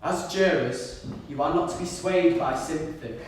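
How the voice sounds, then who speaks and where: Brief: actor as a formal court announcer, somewhat high, lively pitch, hissy distant stage sound. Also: male voice, close to an everyday voice. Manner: measured, formal. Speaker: announcer of the evidence. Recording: echoey hall from far off, audible hiss.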